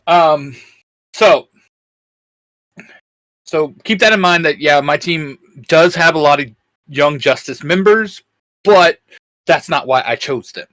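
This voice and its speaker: A man's voice: a little high, takes it slowly, pauses often, a bit bright, no echo, rough recording. A man co-hosting a geeky discussion show, talking casually as a fan. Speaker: co-host of a geek-culture discussion show. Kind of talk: casual fan chat about comics.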